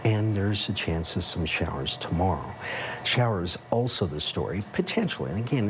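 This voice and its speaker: Guy doing the weather, lowish voice that swings around a lot, noisy background. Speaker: TV meteorologist. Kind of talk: ad-libbed weather forecast presentation. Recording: clear background noise.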